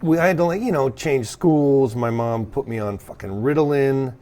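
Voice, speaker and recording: A man with an American accent chatting casually as a guest, sweary and halting as he corrects himself. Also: medium pitch, dark tone. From California, US. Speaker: guest, punk drummer. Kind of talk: casual chat, telling own story.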